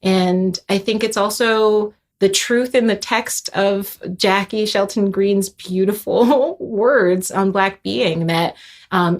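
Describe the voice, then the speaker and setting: A young adult woman, a composer guest, speaking thoughtfully with many fillers and restarts. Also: mid-range voice. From Haitian heritage. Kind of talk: reflective interview talk.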